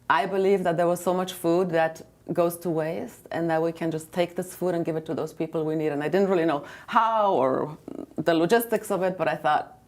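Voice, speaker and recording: A woman with a Romanian accent speaks softly and haltingly, pausing often with "uh" and "um" as she recounts her story. Her voice sits a little low for a woman. She is a guest on a studio interview. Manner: soft-spoken, hesitant with many fillers. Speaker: nonprofit founder, guest.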